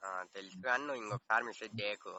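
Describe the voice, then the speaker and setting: A man's voice: lowish voice, rapid-fire, background noise. A young man making a confrontational prank phone call, brusque and threatening, in slangy speech. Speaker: prank caller.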